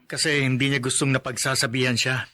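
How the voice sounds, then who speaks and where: An actor playing a caring, protective young husband in a radio drama. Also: male voice, mid-range voice, flat delivery, a little fast, sharp tone, close to an everyday voice. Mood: questioning.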